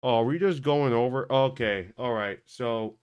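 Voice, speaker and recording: A man hosting a tech video, chatting casually and thinking aloud as he tests a game, often halting and correcting himself. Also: medium pitch, a little mellow, smooth, highs cut off. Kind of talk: casual commentary while testing a game.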